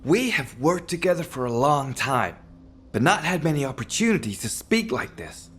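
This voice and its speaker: Husky, earnest researcher. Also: male voice, on the high side, a bit bright, full character voice. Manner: earnest, reflective, measured. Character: solemn, thoughtful male researcher confiding in a long-time comrade. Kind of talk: video game voice acting.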